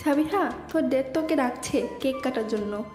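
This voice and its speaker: A woman playing a brisk, practical young college student in an audio story. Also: high-pitched, darkish tone, close to an everyday voice. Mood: matter-of-fact. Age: young adult. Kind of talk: dramatised audio story acting. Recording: echoey reverb with music behind.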